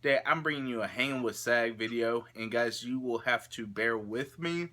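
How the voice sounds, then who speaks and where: A man's voice: medium pitch, normal speed, pushed and bright. A young man with a stuffy, congested nose, rambling casually to camera in his vlog with lots of filler words. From Florida, USA. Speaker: YouTube vlogger.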